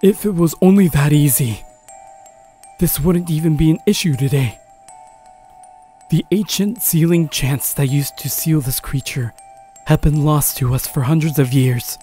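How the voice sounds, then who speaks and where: A man playing a stern, grave old village grandfather in a horror audio drama, speaking solemnly and deliberately. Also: a little high, dark tone, faint music behind, natural voice.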